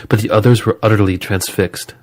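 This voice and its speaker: A man's voice: fairly low pitch, fairly even intonation, a little fast, a little mellow. A man narrating a scripted horror story as a dramatic storyteller.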